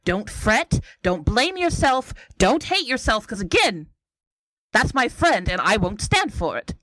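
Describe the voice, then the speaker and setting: A woman acting as a firm, caring female adventurer in a tabletop game. The voice is fairly high and moves expressively. It scolds and reassures in the same breath, in a very dry recording. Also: very animated, unhurried, bright, forceful tone, no echo, full character voice, poor audio. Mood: insistent, protective. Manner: emphatic, scolding yet reassuring. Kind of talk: in-character improvised tabletop roleplay.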